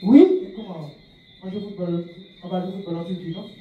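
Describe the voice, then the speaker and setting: A man's voice: high voice, steady tone, fairly quick, lo-fi sound. Man lecturing in a very echoey room. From France. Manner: questions the audience and repeats key phrases. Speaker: speaker of a spiritual movement. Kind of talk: public talk to an audience. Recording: very echoey room, far from the mic, faint noise.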